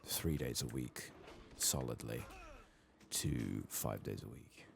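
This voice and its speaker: A soft-spoken man doing an ASMR game playthrough, hushed and sometimes whispering, musing in a reflective, hesitant way as he plays, close to the microphone. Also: extremely low pitch, slow, vocal fry, a little hiss or hum. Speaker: ASMR gaming video host.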